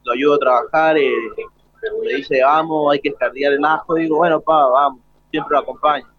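A man's voice: a little high, fairly even intonation, normal speed, dry recording, lo-fi sound. A young man with an Argentine accent chatting casually as a guest in a live interview. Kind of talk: casual chat.

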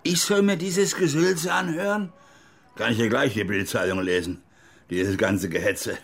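An actor playing an embittered, coarse older former army officer in a radio drama, with a gruff, husky way of speaking. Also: male voice, medium pitch, measured pace. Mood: indignant, scornful. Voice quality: gravelly, husky. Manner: cynical, coarse, grudging. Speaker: interviewee recounting his past. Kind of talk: naturalistic radio drama monologue.

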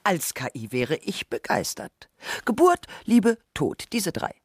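A woman playing a thoughtful, knowing essayist, speaking scripted radio narration in a measured, reflective way. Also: on the low side, wildly swooping pitch, husky, dry recording, studio quality, heavily acted. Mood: fascinated.

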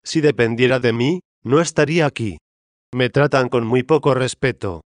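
Hombre leyendo a una reina altiva de ultratumba. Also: male voice, mid-range voice, normal speed, no echo, lightly acted. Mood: indignant. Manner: disdainful, imperious. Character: haughty dead queen's spirit, woman, proud, scornful.

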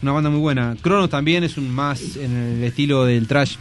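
A man's voice: mid-range voice, brisk, a little hiss or hum. A man of about forty with an Argentine accent, chatting casually as a radio show host. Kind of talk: casual studio chat about metal bands. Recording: loud music under the voices. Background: music.

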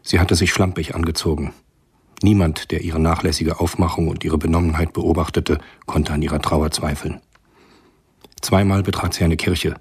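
Male narrator for radio drama. Very low, breathy-rough voice, close and dry, sober scripted delivery. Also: steady tone, moderate pace, dry recording.

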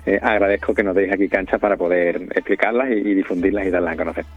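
Low-voiced man on a thin phone line. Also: steady tone. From Spain. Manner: halting, searching for words. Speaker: interview guest, community radio member and audio fiction creator. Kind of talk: explanatory interview talk. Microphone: telephone.

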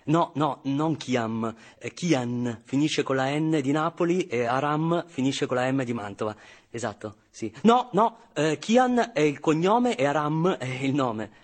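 A man's voice: medium pitch, husky. An actor playing an exasperated young Italian man in a theatrical radio monologue, emphatic and pleading. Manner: emphatic, pleading, spelling out words insistently. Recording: music behind the voice.

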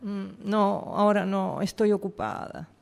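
A woman's voice: medium pitch, measured pace, creaky. A woman with a Rioplatense accent playing an authoritarian, evasive boss, curt and sarcastic, in amateur radio theatre. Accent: Rioplatense Argentine Spanish.

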